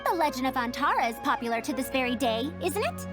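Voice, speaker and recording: A woman's voice: sits high, big pitch swings, fast. A woman playing a haughty, old-fashioned scholar in an anime video game, speaking precisely and pedantically. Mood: proud, nostalgic. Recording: game audio over clear background music.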